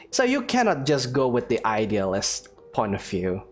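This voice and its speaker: A woman's voice: rumbling low, huge pitch swings, measured pace, faint music behind. A woman talking casually and bluntly, with an offhand, sighing manner.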